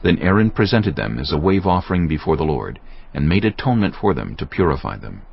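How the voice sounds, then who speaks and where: Male narrator for dramatized scripture: solemn, gravelly, expressive delivery, slightly muffled recording. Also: rumbling low, fast. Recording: dull lo-fi audio under loud music. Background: music.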